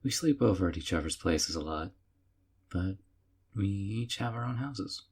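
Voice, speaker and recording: A man playing a deep gravelly possessive captor. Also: moderate pace. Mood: sweet, possessive. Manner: calm, hushed, sweetly possessive. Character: doting obsessive young man captor. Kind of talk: audio roleplay.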